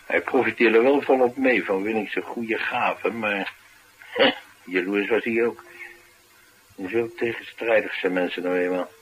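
An actor playing a tough, cynical, streetwise bodyguard in a radio play, talking in a colloquial, matter-of-fact way. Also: male voice, on the low side, measured pace, fairly bright tone, a little hiss or hum, poor audio. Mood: wry, candid. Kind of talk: naturalistic radio drama dialogue.

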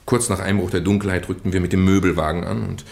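A man acting in a German radio drama as a British gentleman nephew, earnestly and haltingly confessing a well-meant deception in genteel, roundabout phrases. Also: low-pitched, steady tone, vocal fry. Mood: eager, justifying. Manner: hesitant, roundabout, genteel storytelling with stammered repeats.

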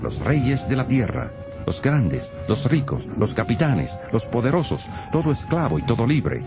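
A man's voice: medium pitch, sing-song, measured pace. A man narrating dramatized scripture in a solemn, dramatic manner. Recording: loud music behind.

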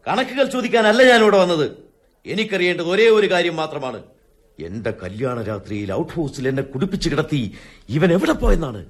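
A man's voice: fairly high pitch, very animated, a little fast. A man playing a vengeful widowed doctor in a radio drama, speaking with bitter, accusing passion. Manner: accusing, impassioned. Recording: radio drama over clear music.

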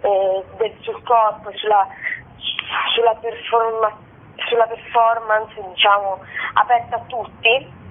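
A woman giving a spontaneous, enthusiastic radio interview as a festival co-director, wordy and halting, with repeated words. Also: a little high, level tone. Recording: tinny, narrow telephone line on radio.

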